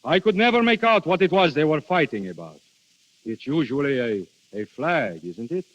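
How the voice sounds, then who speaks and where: World-weary princely Death, swooping intonation. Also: male voice, fairly high pitch, lively intonation, fairly bright tone. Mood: wondering, disdainful. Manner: formal, musing, deliberate with hesitations. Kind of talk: 1930s radio acting. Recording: dull vintage 1930s radio recording.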